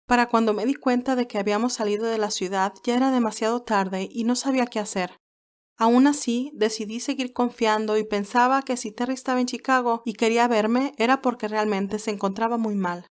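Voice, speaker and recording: A woman's voice: on the high side, fairly even intonation, brisk, natural voice. An earnest young nurse, played by a woman narrator in an audio romance drama. She sounds naive and remorseful, close to tears as she explains herself in a confessional way. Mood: troubled, explaining.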